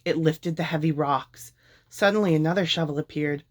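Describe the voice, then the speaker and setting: A woman reading a children's picture book aloud in a deliberate, expressive storytelling way. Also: sits low.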